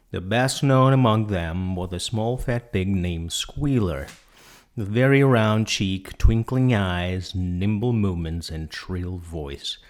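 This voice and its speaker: Male audiobook narrator, fairly deep, delivering a dramatic, measured literary reading.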